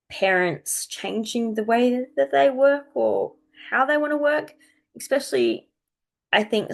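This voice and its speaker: Croaky woman chatting casually. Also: medium pitch. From Australia. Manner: chatty, rambling, thinking aloud. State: croaky from a cold. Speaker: astrology video host. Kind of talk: casual unscripted chat to viewers.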